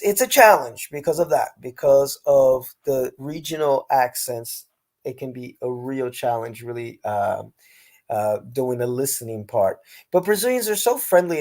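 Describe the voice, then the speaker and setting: A man hosting a casual chat show, talking in an animated, emphatic and friendly way. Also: medium pitch. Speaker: host.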